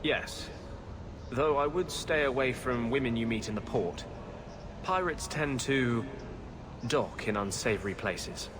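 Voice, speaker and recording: An actor playing an intense, brooding rebel healer mage in a video game, speaking gravely and earnestly. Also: male voice, mid-range voice, expressive, measured pace, long pauses, noisy background, natural voice. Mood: weary, guarded.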